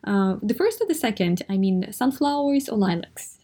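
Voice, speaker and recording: Halting woman interviewer. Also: mid-range voice, sing-song, warm tone. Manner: halting, with many fillers. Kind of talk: interview questions.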